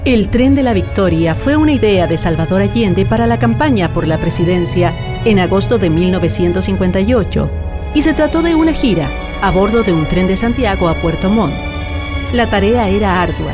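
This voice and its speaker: Woman narrating over music. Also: medium pitch. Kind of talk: scripted documentary narration.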